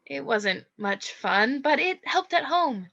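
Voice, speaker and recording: A woman's voice: fairly high pitch, very animated, slow pace, pushed and bright, dull audio, heavily acted. A woman playing a modest, earnest, stage-struck young woman in a radio play. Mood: wistful. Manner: modest, hesitant, polite.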